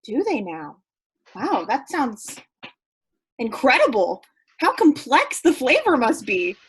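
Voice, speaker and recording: Lady playing an impulsive blunt woman in a play reading, high husky voice, theatrical. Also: wildly swooping pitch, slow pace, full character voice. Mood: feigned interest. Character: blunt, game, impulsive woman guzzling drinks.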